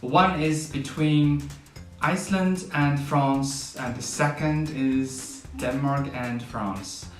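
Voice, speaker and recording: A man's voice: medium pitch, takes it slowly. A man hosting his vlog, interviewing a guest in a friendly, upbeat way. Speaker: vlog host. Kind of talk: casual interview. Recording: echoey room, mic at a distance, guitar music behind.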